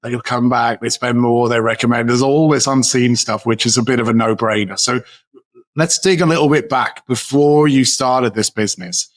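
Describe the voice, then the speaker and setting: A man hosting a podcast interview, asking questions in a relaxed, conversational way. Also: mid-range voice, moderate pace. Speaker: podcast host.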